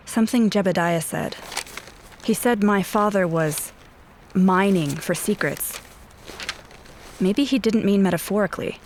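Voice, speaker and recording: An actress playing a guarded, haunted police officer in a scripted audio drama, speaking in terse, brooding, deliberate phrases. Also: female voice, mid-range voice, slight background noise, lightly acted. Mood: pensive, serious. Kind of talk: scripted audio drama acting with first-person narration. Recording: voice over prominent music bed. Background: dramatic music.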